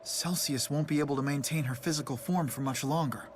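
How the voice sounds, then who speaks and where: An actor playing an earnest, kind, gentle young man in a fantasy adventure game, polite and sincere in his delivery. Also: fairly high pitch, brisk, slight background noise, natural voice. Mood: regretful. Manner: polite, sincere, soft-hearted. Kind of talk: video game cutscene voice acting. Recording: game music behind the voice.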